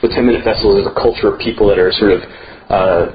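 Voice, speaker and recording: A man in casual interview conversation, a theater festival director talking in a relaxed, rambling way with many fillers. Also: fairly low pitch, fast, highs cut off, a little hiss or hum. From United States.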